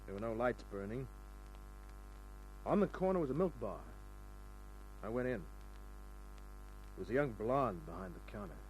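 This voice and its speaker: Male actor as hard-boiled radio gumshoe: wry, cynical, medium pitch, vintage crime drama. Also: expressive, moderate pace, long pauses, lightly acted, rough recording. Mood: matter-of-fact narration. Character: cynical, tough wisecracking male private detective. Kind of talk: mid-century radio crime drama acting and first-person narration. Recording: old radio recording with music.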